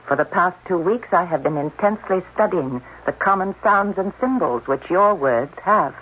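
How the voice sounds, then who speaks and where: A composed female alien doctor, husky but low in neither pitch nor poise, with precise, formal phrasing. It has the muffled sound of an old radio play. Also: animated. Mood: serene, clinical. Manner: precise, formal, measured, stilted. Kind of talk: 1980s radio drama acting.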